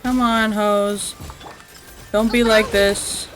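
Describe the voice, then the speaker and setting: A woman's voice: mid-range voice, little pitch movement, extremely slow, a bit bright, rough recording. A young woman livestreaming a video game with casual, rambling commentary, blunt and slangy with some swearing. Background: game music.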